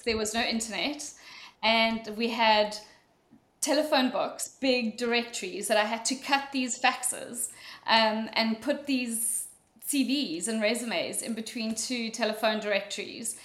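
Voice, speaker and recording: A woman from Mauritius with a South African accent, telling her career story as a podcast guest in a relaxed, storytelling way. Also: on the high side. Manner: relaxed storytelling, frequent ums. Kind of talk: conversational interview answer. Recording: echoey room.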